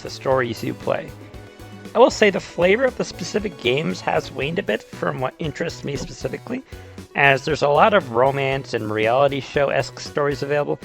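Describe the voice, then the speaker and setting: A man's voice: a little high, creaky, a bit bright. A man narrating a video essay about games, mixing storytelling with casual, conversational asides. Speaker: YouTuber, video game explainer. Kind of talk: video essay narration, semi-scripted retelling and commentary.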